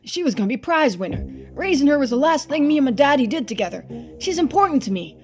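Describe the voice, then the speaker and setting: A woman's voice: high-pitched, big pitch swings, fast, a little mellow, pitched above the performer's own voice. Game role: woman as rural pumpkin grower, earnest, folksy, pleading their case, music underneath. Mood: earnest, hurt.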